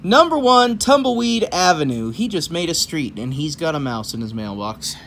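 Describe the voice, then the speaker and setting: Guy reading a kids book out loud, super animated, folksy jokey side comments, bit of background noise. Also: a little high, normal speed, creaky. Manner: folksy, rambling, jokey asides. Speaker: host of a children's read-aloud show. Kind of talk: animated storybook reading with casual playful asides.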